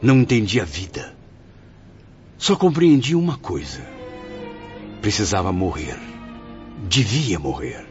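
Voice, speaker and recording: A man's voice: lowish voice, very animated, a little slow, long pauses. An actor playing a bitter, proud, anguished man in a Brazilian radio drama, declaiming his self-pity rhetorically. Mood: despairing. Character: tormented male suicide, bitter, proud, anguished, self-pitying. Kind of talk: melodramatic radio drama acting. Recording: muffled radio drama with faint music.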